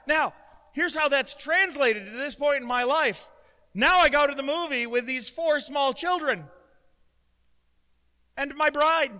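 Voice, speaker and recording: A middle-aged man preaching a sermon as pastor, loud and emotional, with self-deprecating humor. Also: very high voice. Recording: long hall reverb.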